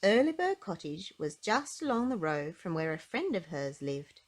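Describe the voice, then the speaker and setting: Female storybook narrator for young listeners, slightly low register, very animated intonation, emphatic phrasing. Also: normal speed. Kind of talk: animated read-aloud narration for children.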